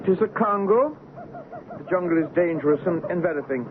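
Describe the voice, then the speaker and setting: A man's voice: high-pitched, lively intonation, brisk. A man playing a stern, businesslike Dutch company director in a radio drama, formal and commanding. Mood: authoritative. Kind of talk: old-time radio drama acting. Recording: muffled old radio broadcast, noisy background.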